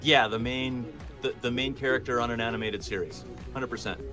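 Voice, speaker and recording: A man's voice: medium pitch, fairly even intonation, fairly quick, over music. An American man from Southern California chatting casually as an interviewed voice actor. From Southern California, United States.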